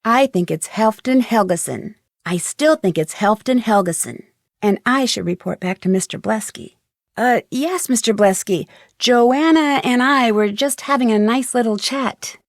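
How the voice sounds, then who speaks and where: A woman's voice: on the high side, measured pace, no echo, clean studio sound. An actress playing a polite, determined young woman detective in a video game, speaking in an earnest way. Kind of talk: acted video game dialogue lines.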